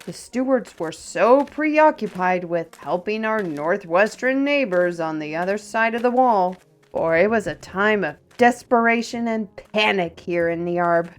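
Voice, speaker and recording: Casting: actress for feisty female artist, fantasy roleplay audio, unhurried and expressive, proud and easily indignant, faint music behind. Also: medium pitch, close to an everyday voice. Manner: theatrical, expressive, exclamatory.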